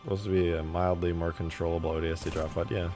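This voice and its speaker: A man with a low, deep voice talks through his modding work on a live stream. He thinks aloud, reads chat messages and breaks into loud laughs, his voice a little hoarse. Faint music plays quietly behind him. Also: steady tone, quick pace. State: losing his voice, slightly hoarse. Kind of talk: casual live-stream commentary.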